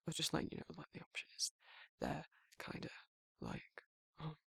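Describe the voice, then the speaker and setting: A performer voicing a big, tender male werewolf who is shy and awkward. The voice is very gravelly and breathy, often dropping toward a whisper, and it stammers and rambles. It is recorded close and dry, as intimate romantic ASMR roleplay. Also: mid-range voice, huge pitch swings, a little fast, fairly bright tone, no echo, exaggerated voice. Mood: bashful, nervous. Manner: hesitant, rambling, stammering, bashful.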